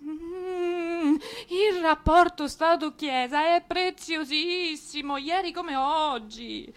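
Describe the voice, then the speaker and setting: A woman's voice: very high-pitched, takes it slowly. A woman presenter delivering theatrical scripted narration with an ironic, emphatic manner.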